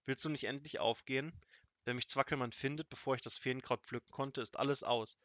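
A man's voice: medium pitch, steady tone, brisk, fairly bright tone, dry recording, natural voice. A man voicing a plucky young puppet hero. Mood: earnest.

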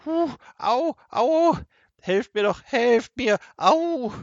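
A man's voice: medium pitch, quick pace, no echo, lo-fi sound, lightly acted. A man voicing a pitiful young boy in a storybook, whining and pleading. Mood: wailing in pain.

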